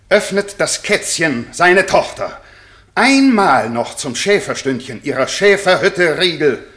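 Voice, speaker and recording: An older actor playing a grey-bearded, imperious king and stern father in a classical radio play, declaiming deliberately. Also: fairly high pitch, fairly bright tone. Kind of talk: German radio play, classical stage verse.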